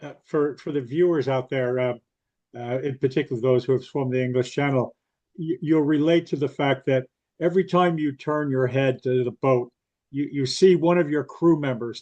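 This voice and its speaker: A man's voice: mid-range voice, mellow. Man hosting an interview, dull audio. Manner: explains context for viewers, filler-laden. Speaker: interview host.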